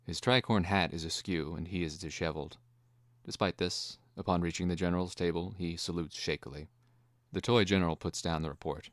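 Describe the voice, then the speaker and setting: Very deep male dramatic narrator. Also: steady tone, fairly quick, no room sound, clean studio sound. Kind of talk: scripted narration of a screenplay.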